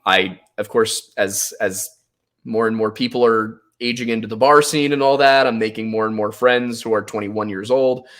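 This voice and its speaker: A man's voice: medium pitch, a bit bright. This is an American man in his twenties with an ordinary mid-range voice. He talks as a guest on a podcast, casual and conversational. He tends to explain at length, telling things as personal stories.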